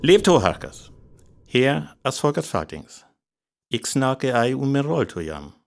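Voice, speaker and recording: A man's voice: mid-range voice, very animated, measured pace, gravelly. A man with a North Frisian accent giving a scripted closing address to radio play listeners. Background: soft music.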